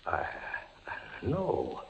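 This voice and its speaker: An actor playing an anguished, fevered condemned soldier in a dungeon, speaking haltingly and theatrically in a 1940s radio drama. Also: male voice, a little mellow. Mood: frightened. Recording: dull, noisy vintage radio recording.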